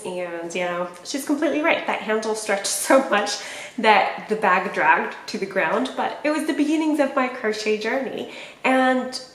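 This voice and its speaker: A woman's voice: medium pitch, reverberant. A woman in her thirties from Puerto Rico, a native Spanish speaker, chatting casually to camera as a podcast host and often correcting herself. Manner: chatty, rambling, self-correcting. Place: small indoor room with plain walls.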